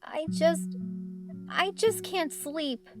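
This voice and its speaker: Woman acting out a young cartoon fox boy, high voice, emotional, pleading, worn out, music behind. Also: very slow, heavily acted. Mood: sleepy, sad. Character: anguished, exhausted young male fox kid, cartoon game character.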